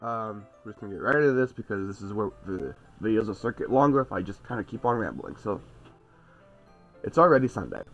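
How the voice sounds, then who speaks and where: A man's voice: mid-range voice, a bit bright, dull audio. A young man with a stuffy nose and scratchy throat, reading a visual novel aloud on stream with casual asides. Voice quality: nasal. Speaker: game streamer.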